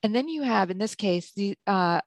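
A woman's voice: mid-range voice, animated, moderate pace, no room sound. A woman who edits books and coaches writers, speaking in a slightly Hungarian-tinged English, answering thoughtfully with frequent ums and self-corrections. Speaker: book editor and writing coach. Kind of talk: conversational interview answer.

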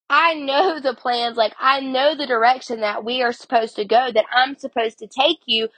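Woman, high voice, sniffly from a cold, chatty devotional host, dry close sound. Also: bright, forceful tone, breathy edge, no room sound. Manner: chatty, heavy use of "like". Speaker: podcast host. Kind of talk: casual devotional talk.

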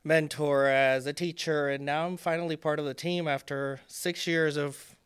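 A man in his thirties, born in Puerto Rico and a native Spanish speaker, speaks in a smooth, resonant voice that sits a bit high for a man. He talks in a casual, thoughtful way, pausing often with ums and restarting sentences as he explains his work. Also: fairly even intonation, a bit bright. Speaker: guest, fashion designer. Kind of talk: casual interview talk.

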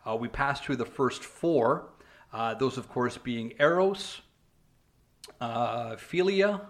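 A young man co-hosting a podcast, talking casually and rambling with frequent restarts, filler words and "man". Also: medium pitch. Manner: chatty, frequent restarts and "man", "you know". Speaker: podcast co-host. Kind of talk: casual chat.

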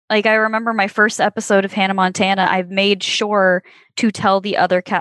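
A woman's voice: lowish voice, fairly bright tone, no room sound. A woman in her twenties talks through her memories on a podcast in a thoughtful, reflective way. Her voice is close and dry, with no room sound around it. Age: 20s. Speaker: podcast guest, former child actor. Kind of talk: casual podcast conversation.

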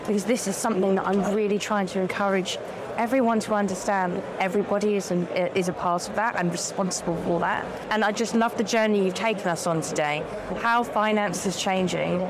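A woman hosting a podcast interview live at a conference, enthusiastic and affirming toward her guest. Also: medium pitch. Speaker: podcast host. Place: conference event. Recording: noisy live event floor.

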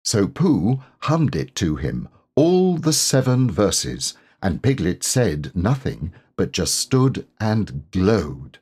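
Male children's storyteller, low husky voice, unhurried, highly varied intonation. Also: big pitch swings, a little mellow. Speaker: audiobook narrator. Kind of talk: expressive children's storybook narration.

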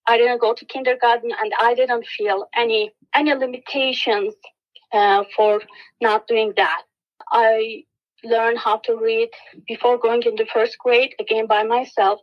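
A woman from Turkey with a Turkish accent, giving an earnest, steady interview answer with many fillers and restarts. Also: fairly high pitch, unhurried, highs cut off. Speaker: guest, education specialist.

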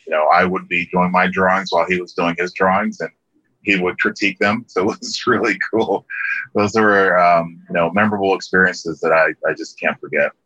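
Deep-voiced man with a dull, dry sound. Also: dry recording. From Liberia. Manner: relaxed, many ums and restarts, laughs easily. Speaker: interview guest, landscape architect. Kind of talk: casual interview conversation.

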